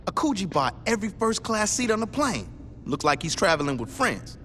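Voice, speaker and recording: A mouthy young gangster talks in a bright, high and very animated way, full of sarcasm. Faint music runs under the game cutscene. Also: male voice, fairly quick, slight background noise, exaggerated voice. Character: mouthy young male gang member. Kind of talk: video game cutscene acting.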